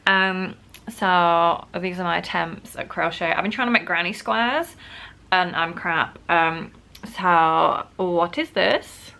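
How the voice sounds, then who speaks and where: Woman, wheezy and sick, low-pitched, crisp bright tone, chatty vlog talk. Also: slow. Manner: chatty, self-mocking, many "like" fillers. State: sick, wheezy with a bad cough. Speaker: vlogger. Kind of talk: casual chat to camera.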